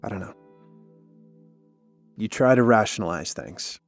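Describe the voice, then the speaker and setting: An actor playing a guilt-ridden, defensive former park warden in an audio drama, telling things in a weary, halting way. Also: male voice, fairly low pitch, animated, no room sound, faint music behind. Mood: somber, haunted. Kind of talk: cinematic audio drama acting, naturalistic.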